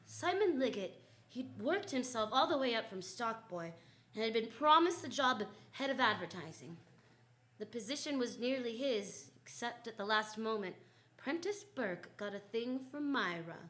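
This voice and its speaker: A confident female private detective from a 1940s radio mystery, played by an actress. Her voice sits a little high. She tells the story with wry, wisecracking assurance. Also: lively intonation, moderate pace, fairly bright tone. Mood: storytelling. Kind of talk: 1940s radio detective drama, first-person narration.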